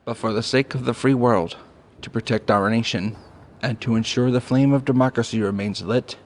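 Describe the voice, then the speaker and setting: A man playing a Canadian national leader giving a solemn, measured, formal wartime address. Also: mid-range voice, fairly even intonation, close to an everyday voice. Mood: grave, resolute. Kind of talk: formal political speech.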